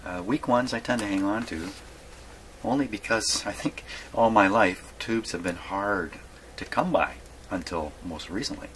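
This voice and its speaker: A man giving a casual hands-on workshop demonstration, thinking aloud in a halting mutter and repeating his words. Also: fairly low pitch, a bit bright. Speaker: hobbyist radio repairer presenting his workshop video. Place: radio repair workshop. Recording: clearly noisy workshop recording.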